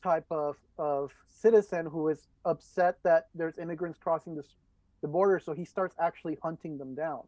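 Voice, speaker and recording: A man's voice: a little high, steady tone, normal speed, darkish tone, no room sound. A man from El Salvador, talking casually as the host of an interview podcast. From El Salvador, moved to the United States as a child. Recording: dull, dry lo-fi microphone.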